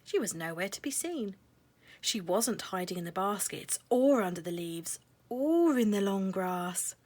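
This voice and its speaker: A woman narrating a children's storybook in a measured, expressive way, letting phrases end softly. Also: mid-range voice.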